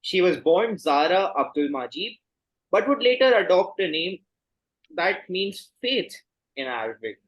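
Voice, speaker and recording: A man hosting a quiz, reading questions and announcing results in a courteous, formal, measured way. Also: high voice, sing-song, highs cut off.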